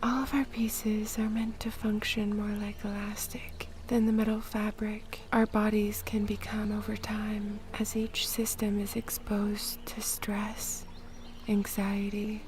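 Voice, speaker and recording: A woman guiding a meditation in a soft, breathy, whispery voice, with a soothing, gentle and measured manner. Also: mid-range voice, completely flat delivery. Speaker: meditation guide. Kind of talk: guided meditation, soft-spoken narration. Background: music.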